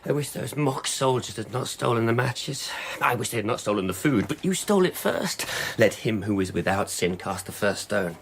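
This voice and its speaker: An actor playing a frightened, zealous street preacher in a radio drama, fretful and rambling, with biblical phrasing and repeated words. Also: male voice, fairly low pitch, animated, moderate pace, hardly any pauses. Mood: rambling, forlorn. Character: frightened, zealous street preacher clinging to faith amid apocalypse. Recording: loud ambient noise behind the voice.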